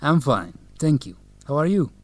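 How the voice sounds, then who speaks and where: An actor playing an eager, courteous young student council president in an educational radio drama, polite and direct. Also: male voice, fairly high pitch, big pitch swings, moderate pace, no echo.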